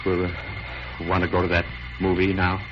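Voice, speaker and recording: A man's voice: sits low, completely flat delivery, normal speed, close to an everyday voice. A man playing a comically nervous, hesitant, wisecracking sidekick in a 1940s radio drama. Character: jittery, wisecracking, cowardly male sidekick. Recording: muffled, noisy 1940s radio recording.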